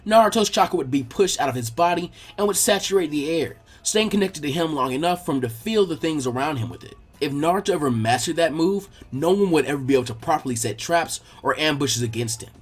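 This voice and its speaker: A man's voice: on the high side, animated, fairly quick, few pauses, slightly rough. A man reading fan fiction aloud as a scripted story narration.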